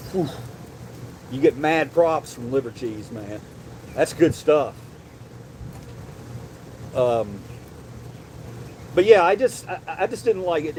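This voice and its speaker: A man hosting a casual livestream and reading chat, animated and loose, swearing casually and calling people bro. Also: a little high, slight background noise, rough recording. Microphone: desk mic, sometimes leaning away.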